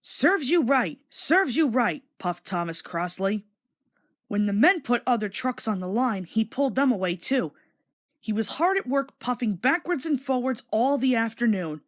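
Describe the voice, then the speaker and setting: A woman's voice: fairly high pitch, animated, moderate pace. A woman narrating a children's storybook read-along from a script.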